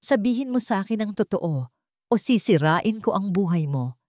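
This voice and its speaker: Casting: woman playing wealthy angry husband for audio drama; hard, commanding, animated delivery, dry close sound. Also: medium pitch, normal speed, no room sound, natural voice. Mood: angry, demanding. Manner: firm, commanding.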